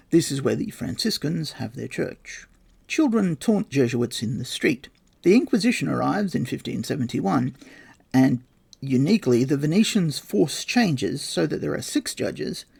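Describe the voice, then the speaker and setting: A man's voice: fairly high pitch, creaky, a little mellow, husky. A man hosting a podcast, reading his research notes aloud with dry, wry asides. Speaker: podcast host.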